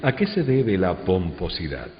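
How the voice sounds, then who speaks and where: An actor playing a courteous gentleman in a theatrical historical radio drama. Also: low voice, sing-song, unhurried, slight background noise. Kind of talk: slow theatrical radio acting. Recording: echoey muffled hall playback.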